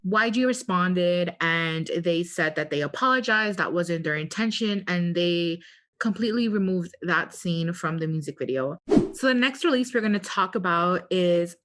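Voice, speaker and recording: A woman with a somewhat low-pitched voice co-hosts a podcast. She talks in a casual, conversational way and shares her opinions openly. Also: lively intonation, normal speed, fairly bright tone. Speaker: podcast co-host.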